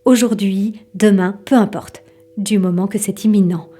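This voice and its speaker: A woman's voice: mid-range voice, steady tone, normal speed, mellow, close to an everyday voice. A woman playing an impatient wife and mother, narrating a scripted audio drama in the second person. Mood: eager.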